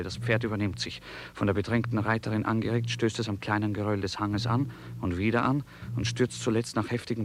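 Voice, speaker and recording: A man's voice: on the low side, noisy background. A man narrating a literary radio drama as storyteller.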